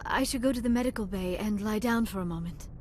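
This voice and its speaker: A woman's voice: mid-range voice, fast talker, slight background noise, close to an everyday voice. A woman playing an earnest, scholarly alien researcher in a video game, with formal, precise speech. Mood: urgent.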